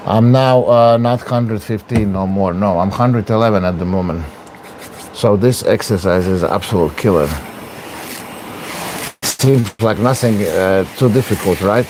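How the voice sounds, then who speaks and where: A low-voiced man chats to his viewers in broken English while working through a training exercise. An arm wrestler, he keeps talking through the effort. A faint noise hums behind him. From Latvia. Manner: broken English, chatty, talks through exertion. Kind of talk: casual vlog talk while training.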